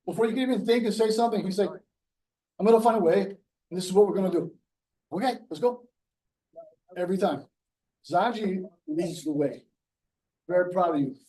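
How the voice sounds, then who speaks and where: Jiu-jitsu coach, heartfelt speech, fairly high voice, echoey gym far from the mic. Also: male voice, fairly quick, long pauses, dark tone. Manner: heartfelt, acts out quotes with a rising voice. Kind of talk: speech at a belt promotion. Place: martial arts gym.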